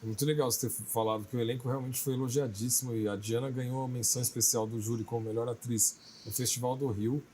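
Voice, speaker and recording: A man's voice: mid-range voice, fairly even intonation, fast talker, hardly any pauses, warm tone, a little hiss or hum. A Brazilian man, a film director, answering interview questions in a conversational way, telling things through little quoted asides. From Brazil, outside Maranhão.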